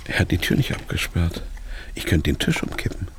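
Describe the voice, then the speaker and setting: A man's voice: low-pitched, big pitch swings, brisk, a crowd in the background. A man playing a frightened kidnapped businessman in a radio drama, telling his thoughts in terse fragments. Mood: anxious muttering. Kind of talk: radio drama inner monologue and narration. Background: music.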